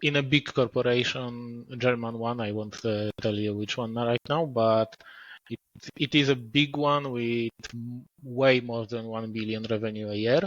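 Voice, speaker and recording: A man, a guest author speaking in a measured way, often pausing to rephrase. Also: medium pitch, fairly even intonation, dull audio. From Poland. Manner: measured, pauses and rephrases mid-sentence. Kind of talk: casual podcast interview.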